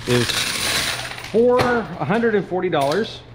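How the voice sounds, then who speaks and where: A man's voice: high voice, sing-song, takes it slowly. A man casually narrating as he collects money from his laundromat, talking to viewers in an offhand running commentary. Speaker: laundromat owner filming a vlog. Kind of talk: casual vlog narration while working. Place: small indoor laundromat. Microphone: head or chest-mounted action camera. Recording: noisy action camera audio with clicks.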